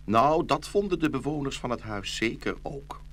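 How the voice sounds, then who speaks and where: A man narrating a children's fairy tale in an expressive scripted storytelling style. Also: mid-range voice, moderate pace. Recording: faint background noise.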